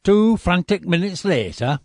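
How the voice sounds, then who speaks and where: A man's voice: high voice, very animated, measured pace, darkish tone, dry recording, studio quality, full character voice. A man playing a dramatic narrator in a parody radio advert, reading scripted scene-setting. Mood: mock-dramatic.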